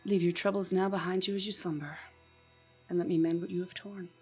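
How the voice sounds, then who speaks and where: Lady playing a soothing storyteller queen, lowish voice, faint music, radio play. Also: flat delivery, brisk, darkish tone, slightly rough. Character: soothing storyteller queen addressing her Sultan.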